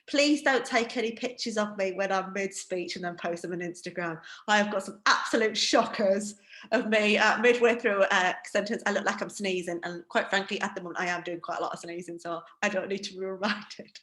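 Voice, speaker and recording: Woman, Northeast English accent, middle pitch, chatty online presenter, roomy home sound. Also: fairly quick. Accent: Northeast England. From Northeast England. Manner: chatty, self-deprecating, lively. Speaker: wine educator. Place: home room. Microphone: video call.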